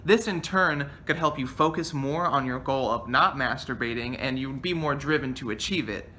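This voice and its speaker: Bright-voiced young man vlogging earnestly. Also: mid-range voice, normal speed, a little hiss or hum. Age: 20s. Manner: earnest, thinking aloud with frequent uh and um; gestures a lot with his hands. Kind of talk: casual talk to camera. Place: small bedroom indoors.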